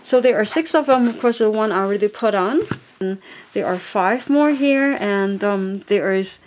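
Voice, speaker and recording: A woman's voice: mid-range voice, moderate pace, darkish tone, slight background noise. Woman chatting about a little gadget off camera, lots of ums and restarts, home camera mic. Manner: chatty, rambling, many fillers and restarts. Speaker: product reviewer. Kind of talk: casual product review.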